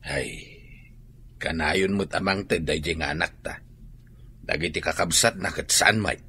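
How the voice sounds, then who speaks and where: A man's voice: deep voice, measured pace, bright, forceful tone, a little hiss or hum, exaggerated voice. An actor playing an elderly husband and father in a radio drama, with an Ilocano accent. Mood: plain-spoken. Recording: music behind the voice.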